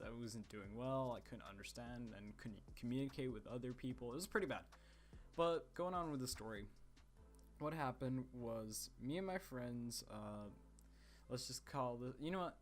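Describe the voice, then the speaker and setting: A teenage boy from the Czech Republic telling a rambling personal story over gameplay, with lots of fillers and restarts. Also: medium pitch. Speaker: gaming storyteller.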